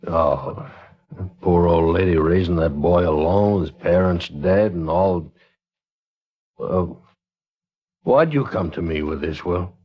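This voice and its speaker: A cautious, deep-voiced townsman. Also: takes it slowly, pauses often, husky, heavily acted. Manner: hesitant, defensive. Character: cautious small-town man, defensive and hedging. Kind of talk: 1950s radio acting. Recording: dull lo-fi old radio broadcast.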